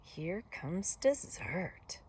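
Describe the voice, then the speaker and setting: A woman voicing a sly, greedy male wolf in a storybook, with smooth-talking, wheedling politeness. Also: on the high side, big pitch swings, a little slow, a bit bright, pitched above the performer's own voice, heavily acted. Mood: gloating.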